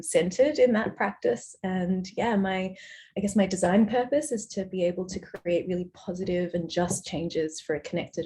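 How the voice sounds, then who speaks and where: A woman's voice: on the low side, creaky, warm tone, slight background noise. A woman hosting a panel discussion, slightly hesitant and using fillers. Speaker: panel host.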